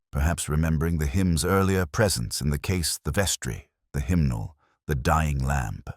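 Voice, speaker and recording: A man playing a melancholy, world-weary English police inspector, narrating his own story in a measured, literary, reflective way. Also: very deep voice, dry recording, studio quality, exaggerated voice. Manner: measured, brooding, literary delivery. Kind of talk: scripted first-person narration, literary detective drama.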